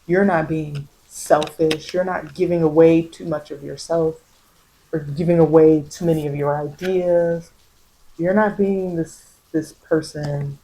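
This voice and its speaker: An American woman giving soft-spoken ASMR spiritual guidance as a tarot reader, meandering and repeating phrases to reassure. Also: deep voice, a little hiss or hum, rough recording.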